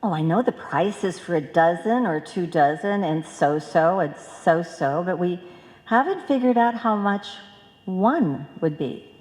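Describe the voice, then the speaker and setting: Scatterbrained, earnest wife for old-time radio comedy played by a woman, very animated, slow delivery, reverberant stage. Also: lowish voice. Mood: puzzled. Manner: muddled, malapropism-prone, animated. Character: scatterbrained, earnest wife who mangles words. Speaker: radio play actor. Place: live stage in a hall. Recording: reverberant hall stage recording.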